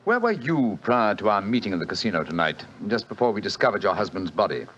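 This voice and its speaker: An actor playing a shrewd, assured detective, crisp and lightly ironic, in a 1940s radio drama. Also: male voice, on the low side, big pitch swings, fast talker, a bit bright, slight background noise. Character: brisk, shrewd British detective, sharp and assured. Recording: dull old radio broadcast.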